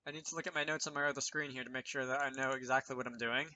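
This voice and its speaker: A man's voice: mid-range voice, steady tone, very fast, bright, forceful tone, a little hiss or hum. A man presenting an informal programming tutorial, thinking aloud with self-deprecating asides as he explains. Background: keyboard typing and mouse clicks.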